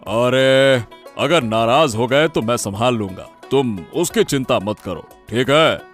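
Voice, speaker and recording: An actor playing an excitable, doting older village father-in-law in an animated moral story. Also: medium pitch, a little slow, faint music behind, close to an everyday voice. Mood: bossy, contented.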